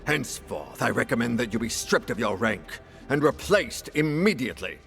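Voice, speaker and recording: An actor playing a stern, haughty official of a hunters' order in a fantasy game, speaking formally and accusingly. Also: male voice, mid-range voice, sing-song, moderate pace, creaky, fairly bright tone, soft background music. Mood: stern indignation. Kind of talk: dramatic fantasy game acting.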